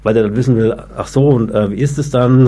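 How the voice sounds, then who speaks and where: A man who stutters, chatting casually in short, broken phrases with pauses. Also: mid-range voice, fairly even intonation, warm tone. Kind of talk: casual conversation.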